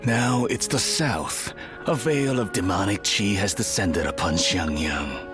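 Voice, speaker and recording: A man playing a grave, authoritative mentor in a video game set in ancient China, measured and solemn. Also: low-pitched, over music. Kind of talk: dramatic video game cutscene acting.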